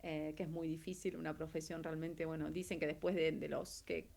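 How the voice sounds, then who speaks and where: A middle-aged woman from Argentina with an Argentine accent, speaking casually as an interview guest and explaining her work, pausing mid-thought as she goes. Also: sits low, little pitch movement, vocal fry, a little mellow. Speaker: interview guest, actress and translator. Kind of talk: casual interview, telling career story.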